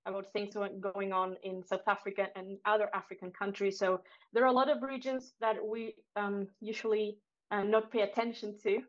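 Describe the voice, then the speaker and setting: Halting woman, slightly muffled. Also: mid-range voice, flat delivery. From Argentina. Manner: halting, searching for words in English. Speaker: board member, guest. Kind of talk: podcast interview.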